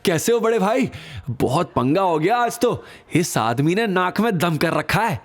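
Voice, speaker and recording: A man's voice: high-pitched, very animated, measured pace, voice pushed up, exaggerated voice. A man playing a scheming, fawning sidekick in an audio drama, whining and ingratiating. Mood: fawning complaint.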